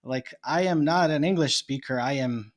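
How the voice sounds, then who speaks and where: A man's voice: mid-range voice, normal speed. A man from Bosnia, a podcast guest, speaking English with a Bosnian Serbian accent. He muses and thinks aloud in casual chat, often laughing.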